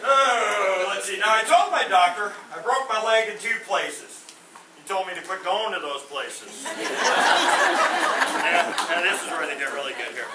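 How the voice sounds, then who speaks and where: Male stand-up comic, high voice, corny puns, recorded from across a roomy hall with background noise. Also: normal speed, over a noisy crowd. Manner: corny puns with a sheepish trailing delivery. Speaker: stand-up comedian. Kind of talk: stand-up one-liners. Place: veterans home hall. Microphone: no microphone, far from the recorder. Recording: roomy hall from a distance, noisy.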